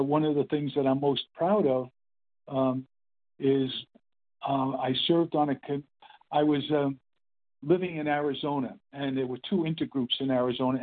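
An older man in his eighties sharing his story at a meeting, rambling and halting with many fillers and restarts. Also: mid-range voice. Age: 80s. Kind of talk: casual spoken testimony. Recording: phone-line call.

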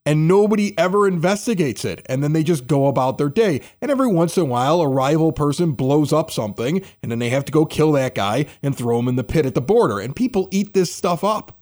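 A man's voice: fairly high pitch, expressive, fast talker, few pauses, darkish tone. A man hosting a local talk show, joking and rambling animatedly while he interviews a guest. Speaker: host. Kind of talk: casual interview chat.

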